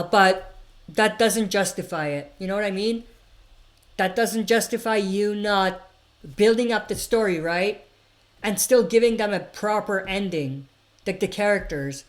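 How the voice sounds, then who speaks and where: Woman, livestream host, casual and opinionated, reads chat comments and riffs on them, mid-pitched voice. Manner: chatty, reads viewer comments aloud then answers; frequent fillers and restarts, opinionated.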